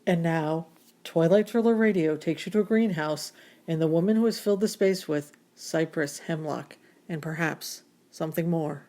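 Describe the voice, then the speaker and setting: A woman playing the ominous host of a horror radio show, with theatrical, drawn-out delivery. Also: low voice, mellow, natural voice. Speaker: announcer and host of a radio thriller series. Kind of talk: theatrical radio thriller narration.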